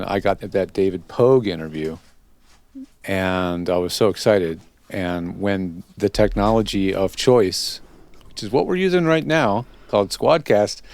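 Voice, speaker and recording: A man's voice: sits low, a little mellow. A man hosting a casual interview, talking in a conversational, explanatory way. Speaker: host interviewer. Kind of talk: casual interview chat about recording.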